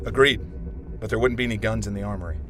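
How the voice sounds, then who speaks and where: Man playing an earnest, fast-talking starship crewman. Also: fairly low pitch, music in the background, natural voice. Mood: reasoning. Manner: literal, questioning, logical. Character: Adam, earnest, reasoning male spaceship crewman. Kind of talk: science fiction audio drama acting.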